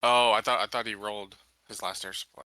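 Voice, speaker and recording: A man's voice: lowish voice, fairly even intonation, a little fast, sharp tone, no echo, poor audio. A man talking casually as a player in a tabletop roleplaying game. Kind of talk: casual chat during a game.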